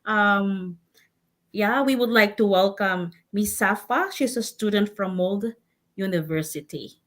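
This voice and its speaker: A woman hosts a live online talk at a slow, unhurried pace. She leans on fillers and slips between English and Filipino as she introduces guests. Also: mid-range voice. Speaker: host. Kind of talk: live show hosting.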